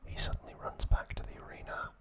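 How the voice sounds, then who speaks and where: A man hosting ASMR, whispering a recap in a hushed, unhurried manner with deliberate pauses. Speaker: ASMR host.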